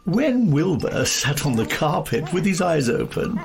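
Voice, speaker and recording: Woman narrator, low pitch, unhurried, expressive children's storytelling, music underneath. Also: vocal fry. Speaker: storybook reader. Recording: music behind the voice.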